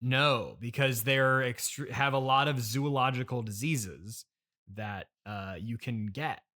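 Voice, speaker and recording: A man's voice: medium pitch, takes it slowly, sharp tone. A man hosting an improvised comedy conversation, speaking in an awkward, hesitant but earnestly reasoning way. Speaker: podcast host playing himself.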